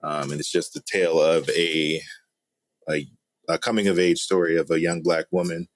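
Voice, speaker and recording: A man's voice: low-pitched, level tone, moderate pace, no room sound. A man chatting casually as a guest on a talk show. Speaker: guest, game studio founder. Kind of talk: casual chat.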